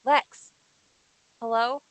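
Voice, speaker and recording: A woman's voice: on the high side, steady tone, extremely slow, dry recording, low-quality recording. A woman playing a young woman talking on the phone in an amateur script table read. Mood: puzzled.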